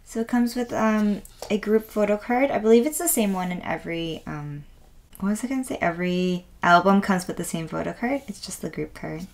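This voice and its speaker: A woman with a raspy voice today, chatting softly and gushing with lots of fillers as she unboxes an album at a table. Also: medium pitch, lively intonation, brisk. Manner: soft, rambling, gushing with many fillers. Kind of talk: casual unboxing chat. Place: indoors at a wooden table.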